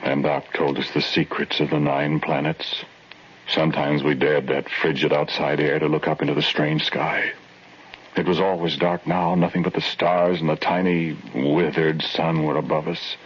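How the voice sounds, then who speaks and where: An actor playing an earnest male survivor telling his grim story in a solemn, measured 1940s radio drama style. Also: a bass voice, little pitch movement, husky. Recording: muffled old radio recording with music.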